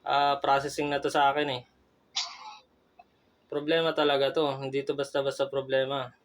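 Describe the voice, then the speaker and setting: A man with a Filipino accent on a phone call as a customer, complaining in a halting, insistent way. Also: medium pitch, completely flat delivery. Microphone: telephone.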